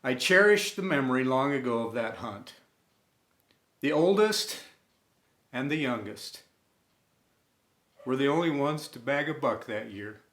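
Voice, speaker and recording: Slow-paced male storyteller in an echoey room. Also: mid-range voice, lively intonation, a bit bright. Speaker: storyteller and host. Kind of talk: scripted personal narration, inspirational story. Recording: roomy, echoing room sound.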